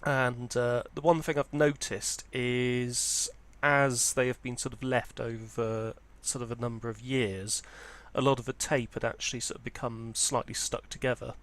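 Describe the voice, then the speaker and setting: A man's voice: medium pitch, flat delivery, moderate pace. A man presenting an informal, unscripted walkthrough as a hobbyist, explaining things in a hesitant, hedging way. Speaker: presenter of a vintage audio hobby channel.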